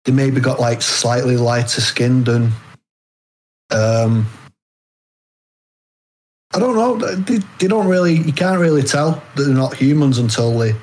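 A British man, an author being interviewed, explaining things casually in a halting, rambling way. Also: mid-range voice, animated, darkish tone, poor audio. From United Kingdom. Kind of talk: casual chat.

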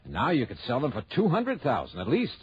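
A man's voice: mid-range voice, huge pitch swings, a little fast, husky, exaggerated voice. An actor playing a tough, sardonic male insurance investigator in a 1960s radio detective drama, bluntly accusing. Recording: muffled old 1960s radio recording.

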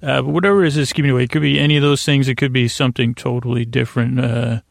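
Creaky, mumbling man rambling at bedtime. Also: medium pitch, fairly even intonation, rapid-fire, no room sound, clean studio sound. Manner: meandering, mumbling, stumbling, gently absurd. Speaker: podcast host. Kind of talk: rambling bedtime monologue to lull listeners to sleep.

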